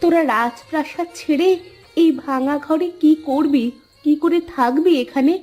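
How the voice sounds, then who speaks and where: A woman's voice: high voice, measured pace, darkish tone, faint music behind. A woman voicing an insistent, dramatic mother from a rich house in a cartoon drama. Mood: incredulous. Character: insistent rich mother reclaiming her lost daughters. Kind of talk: Bengali cartoon voice acting.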